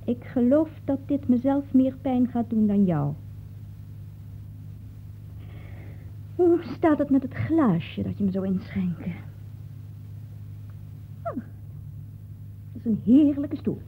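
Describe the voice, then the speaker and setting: Tartende jonge romanheldin, levendig, blikkerig geluid. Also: female voice, a little high, expressive, unhurried, long pauses. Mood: playful, smug. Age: young adult. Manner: taunting, theatrical, coaxing. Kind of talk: radio play acting. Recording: tinny, noisy old radio recording.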